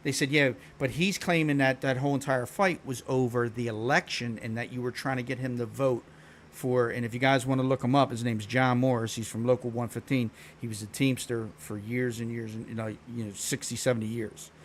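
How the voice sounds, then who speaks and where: A man's voice: mid-range voice, steady tone, a little fast, slight background noise. Blunt, profane Philly man rambling. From Philadelphia. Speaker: live streamer. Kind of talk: casual storytelling chat to stream viewers.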